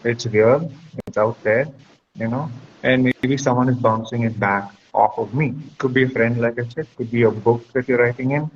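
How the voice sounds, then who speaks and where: A man's voice: medium pitch, moderate pace. A man speaks as a guest counselor, giving reflective, conversational advice and often checking in with the listener. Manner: reflective, explanatory, checks with "right?". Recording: dull lo-fi audio with clear background noise.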